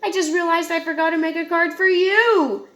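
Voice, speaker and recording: Woman as an earnest high-voiced young boy cat. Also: fairly even intonation, normal speed, fairly bright tone. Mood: worried. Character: earnest, excitable young male cartoon cat.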